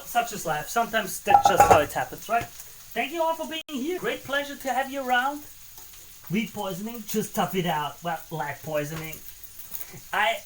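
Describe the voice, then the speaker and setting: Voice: mid-range voice, fairly bright tone, slight background noise, poor audio. Chatty cooking streamer. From Austria. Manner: chatty, greets and answers viewers between cooking remarks. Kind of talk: casual live-stream chat while cooking.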